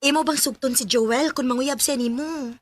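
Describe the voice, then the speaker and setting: A woman's voice: sits high, normal speed. An actress playing a frank, caring young woman who prods and advises her friend, in a radio drama. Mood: teasing.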